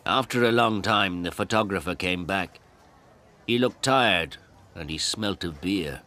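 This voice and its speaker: A man reading a simple story for language learners as a narrator, in deliberate, clearly separated short phrases. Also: low voice, sharp tone. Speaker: audiobook narrator.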